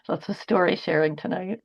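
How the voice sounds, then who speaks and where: A woman moderating a webinar on a video call, relaxed and friendly as she invites stories. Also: lowish voice, gravelly, slightly rough. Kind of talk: casual webinar hosting. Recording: dull lo-fi call audio.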